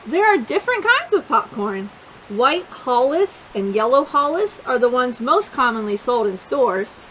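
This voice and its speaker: A woman reading a picture book aloud to children as a storytime reader, expressive and emphatic, drawing out key words and adding little asides to her young listeners. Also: high voice, a little slow, slight background noise, rough recording.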